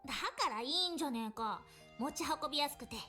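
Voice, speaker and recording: An actress playing a brash, hot-tempered young tomboy in a Japanese visual novel, with rough, blunt, slangy speech. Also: female voice, sits high, lively intonation, very fast, sharp tone, faint music behind. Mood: casual.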